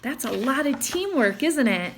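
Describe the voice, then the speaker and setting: High-pitched woman reading a children's story. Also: normal speed, slight background noise. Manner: expressive, addressing young listeners. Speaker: storytime reader. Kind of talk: children's picture book read-aloud with chatty asides.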